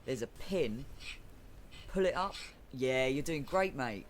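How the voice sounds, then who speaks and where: A man's voice: medium pitch, expressive, slow, slight background noise. A man with a British accent playing a wry, roguish island mechanic in a comic audio drama. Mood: wry, matey. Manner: breezy, wry, matey. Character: roguish island mechanic, breezy thieving sidekick.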